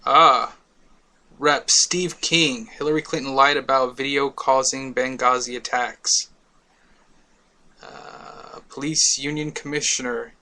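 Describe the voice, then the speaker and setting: A man's voice: mid-range voice, steady tone, slow, bright, forceful tone. A man from Miami hosting a casual talk radio show, rambling with many fillers.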